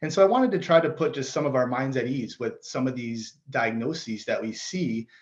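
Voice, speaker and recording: A man's voice: medium pitch, normal speed, muffled recording. A man, a chiropractor, giving an informal talk to parents in plain words, with ums and kinda. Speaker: chiropractor presenting. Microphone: computer mic on a video call.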